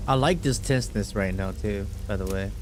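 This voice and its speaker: A man's voice: lowish voice, a little fast, a little mellow, slight background noise. A man doing casual, slangy live reaction commentary as he plays a game, swearing now and then. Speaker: gaming streamer reacting to a game. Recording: game music behind the voice. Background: game music and rain sounds.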